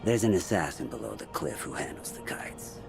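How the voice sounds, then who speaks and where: A man playing a roguish, wry middle-aged schemer in a video game, with gravelly voice and folksy, sardonic slang. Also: a bass voice, sing-song, a little fast, full character voice. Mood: sly, musing. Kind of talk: video game cutscene acting. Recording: background music under voice.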